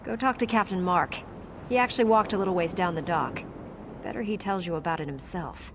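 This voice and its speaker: A woman's voice: fairly low pitch, fairly quick, noisy background, lightly acted. A woman voicing a brash, sarcastic sailor in a video game, with a wry, informal manner. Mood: uneasy, wry. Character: brash, sarcastic female sailor on a ship's crew. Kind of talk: video game character dialogue.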